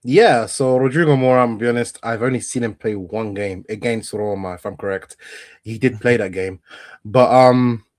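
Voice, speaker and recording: A man's voice: medium pitch, normal speed, no echo. A young man from northern Italy with an English accent, chatting casually as a podcast guest and talking in a laid-back way with plenty of fillers. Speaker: guest, football content creator.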